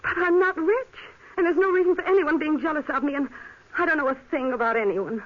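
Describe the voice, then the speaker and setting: A woman's voice: high-pitched, fast talker, natural voice. An actress playing an anxious, earnest stage leading lady in a 1950s radio drama. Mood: puzzled, uneasy. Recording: muffled, noisy vintage radio recording.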